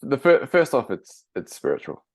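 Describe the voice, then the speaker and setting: A man with a New Zealand accent, guest on a travel podcast, explaining at length in a relaxed way with many fillers and restarts. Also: mid-range voice, moderate pace, dry recording, studio quality. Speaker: guest, mountain monk trainer. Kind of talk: casual interview.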